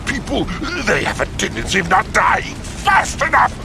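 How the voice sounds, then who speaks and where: Gravelly, soul-starved reaper. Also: male voice, high-pitched, wildly swooping pitch, normal speed, exaggerated voice. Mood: craving, demanding. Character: soul-hungry reaper, a junkie addicted to death. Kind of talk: video game cutscene acting. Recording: loud music over the voice.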